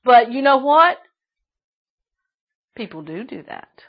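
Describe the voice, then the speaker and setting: A woman preaching a sermon with a shrill voice, emphatic and repeating phrases for stress. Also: sing-song, slow pace, muffled recording.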